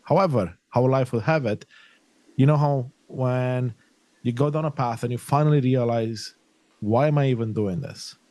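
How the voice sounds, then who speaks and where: A man's voice: medium pitch, normal speed, mellow, dry recording. A middle-aged man from Romania, a podcast guest talking earnestly and reflectively in long flowing sentences. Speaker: podcast guest, life coach. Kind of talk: conversational interview.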